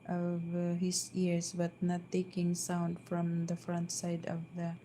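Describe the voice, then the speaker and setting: Soft-spoken filipina lady chatting on a live stream at home, slow and flat, lots of ums, faint hum behind. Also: on the low side. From Philippines. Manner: hesitant, many fillers and restarts. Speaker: vlogger. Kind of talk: casual live-stream chat. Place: downstairs at home.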